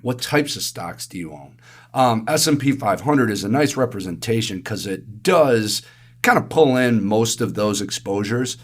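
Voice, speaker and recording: Casting: lower-voiced Midwestern man, raspy and a bit hoarse, chatty podcast advisor. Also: lively intonation, normal speed. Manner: chatty, explains with everyday analogies. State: hoarse from allergies. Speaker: investment advisor co-host. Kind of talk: casual podcast market commentary.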